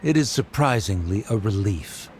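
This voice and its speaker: A man's voice: lowish voice, animated, moderate pace, slight background noise, close to an everyday voice. A man voice actor playing an aloof, condescending, pompous scholarly father in a video game. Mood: disdainful, relieved. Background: faint music.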